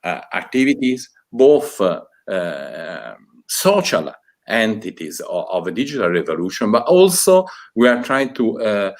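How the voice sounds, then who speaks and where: A man giving a welcome speech as a department director, with frequent hesitations. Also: medium pitch. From Italy. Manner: hesitant, many fillers. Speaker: university department director, guest speaker.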